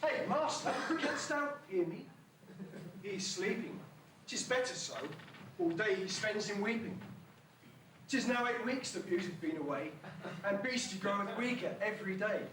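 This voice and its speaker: On a noisy, distant stage recording, a man plays a mournful pantomime servant. The high voice declaims old-fashioned rhyming lines with mock-tragic weight. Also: animated, a little slow. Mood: mock mourning. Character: mournful loyal servant of a dying beast, archaic speech. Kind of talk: amateur pantomime rhyming monologue. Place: school hall stage, far from the microphone. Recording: echoey school hall, distant, noisy old tape.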